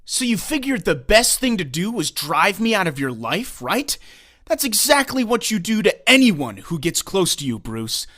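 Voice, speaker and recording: An actor playing a defiant young hero and former sidekick in a comic dub, speaking bluntly and with feeling. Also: male voice, sits high, very animated, moderate pace, fairly bright tone, heavily acted. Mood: bitter, confrontational. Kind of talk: comic dub dramatic acting.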